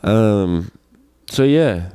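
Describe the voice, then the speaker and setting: A man's voice: fairly low pitch, expressive, drawn-out words, mellow, smooth, no room sound. A man in his twenties chatting casually to his audience, rambling in a laid-back, slangy way with some swearing. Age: 20s. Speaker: host of a personal vlog show. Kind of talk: casual rambling solo chat.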